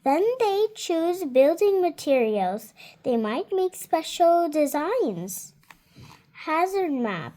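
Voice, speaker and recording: A woman's voice: high-pitched, sing-song, slow pace, dark tone. A seven-year-old girl reading a children's nonfiction book aloud carefully, word by word, adding her own small comments. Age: child, 7 years old. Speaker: child reader.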